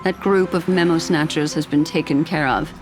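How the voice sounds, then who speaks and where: A woman playing a composed, enigmatic memory keeper in a video game, speaking formally and in a measured way. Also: low-pitched, fairly even intonation, music in the background, natural voice. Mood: composed, polite. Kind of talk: video game character voice acting.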